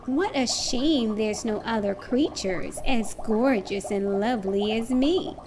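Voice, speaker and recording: Woman voicing a vain, high-pitched darling of an angelfish. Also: slow, noisy background. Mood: vain, gushing. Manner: self-admiring, gushing. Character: vain, preening female angelfish from a picture book. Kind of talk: children's storybook read-aloud.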